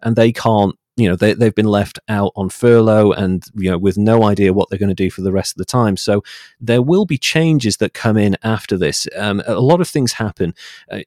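A low-pitched man talks as a guest in a conversational interview. His delivery is articulate and discursive, explaining as he goes. The recording is dry, with very little room sound. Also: darkish tone, no echo.

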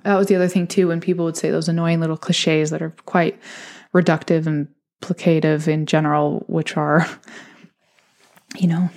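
A woman's voice: low voice, flat delivery, moderate pace, a little mellow. Chatty young woman telling a candid story. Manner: candid, chatty, laughs often, mimics voices in quotes. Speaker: podcast host telling her birth story. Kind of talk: casual personal storytelling.

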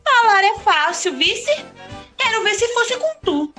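Woman as high-pitched, fretful Northeastern Brazilian sister. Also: very animated, measured pace, pushed and bright, soft background music, full character voice. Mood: defensive, scolding. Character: fretful, feisty sister with folksy Northeastern speech. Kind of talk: comedic radio soap opera acting.

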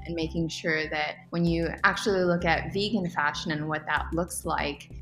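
A young adult woman, a podcast guest, chatting in an upbeat, rambling way with fillers. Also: low voice. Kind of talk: casual interview answers. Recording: roomy echo with faint music.

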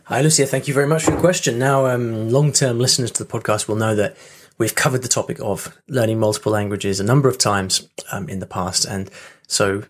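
A man's voice: lowish voice, a little fast, a little hiss or hum. A man hosting a podcast in casual solo chat, talking in a chatty, rambling way with restarts. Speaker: podcast host. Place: home room with rain on the windows.

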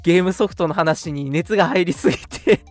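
A man's voice: on the high side, fairly quick, soft background music. A man co-hosting a live radio show in a casual, chatty, jokingly self-deprecating way.

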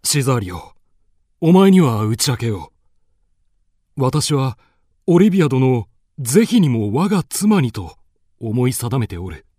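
An actor playing a dignified, lovestruck duke in a radio drama, speaking in a lordly, formal, theatrical and earnest way. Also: male voice, mid-range voice, big pitch swings, a little slow, long pauses, mellow, no echo, clean studio sound.

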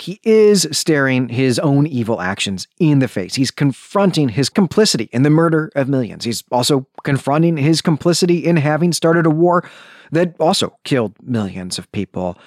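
A man hosting a podcast, giving book commentary in an emphatic way, repeating phrases deliberately for stress. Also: mid-range voice, no room sound, clean studio sound. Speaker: podcast host.

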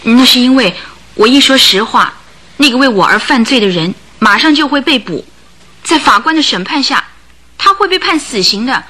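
A woman playing a remorseful, well-spoken circus owner's wife in a radio drama, measured and confessional. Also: high voice, animated, bright, forceful tone, exaggerated voice. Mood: confessing, uneasy. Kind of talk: Chinese radio drama acting.

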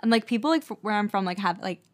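A woman's voice: mid-range voice, rapid-fire. A young woman from Wisconsin with a slight Wisconsin accent, co-hosting a podcast in casual chat and filling her speech with "like". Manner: chatty, filler-heavy, many likes. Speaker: podcast co-host.

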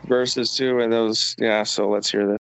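A man's voice: on the low side, level tone, unhurried, vocal fry, clear, resonant tone, rough recording. A middle-aged man from Canada, a podcast guest, giving laid-back, profane advice in casual chat.

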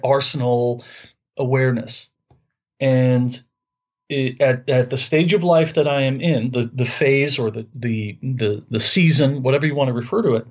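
A man's voice: medium pitch, a little mellow, highs cut off. A man in his fifties from Long Island with a New York accent, talking earnestly as an interview guest and often repeating words while he searches for phrasing. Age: 50s. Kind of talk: conversational interview.

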